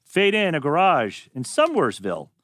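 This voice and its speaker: Animated man narrating screenplay directions. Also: on the high side, moderate pace. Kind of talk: reading screenplay directions at a table read.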